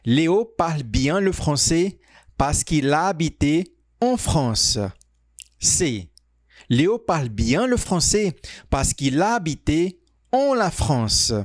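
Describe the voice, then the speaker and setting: A man teaching French, reading quiz sentences and answer options aloud slowly and carefully. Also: fairly high pitch, sing-song, no echo, clean studio sound. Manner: reads sentences slowly, stretched out, pauses on options. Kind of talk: slow, clearly spoken quiz reading.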